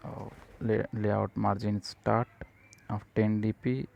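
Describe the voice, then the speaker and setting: Guy doing a coding tutorial, lowish gravelly voice, pretty flat, stop-and-start phrases, bit of noise behind him. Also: slow pace, lo-fi sound. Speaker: tutorial presenter. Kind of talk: step-by-step software tutorial narration.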